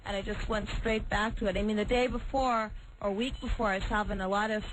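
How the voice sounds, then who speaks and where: A female interview guest talks in a candid, casual, matter-of-fact way. Her pitch is average for a woman. The recording is clearly noisy, with small clicks. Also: fairly even intonation, a little fast.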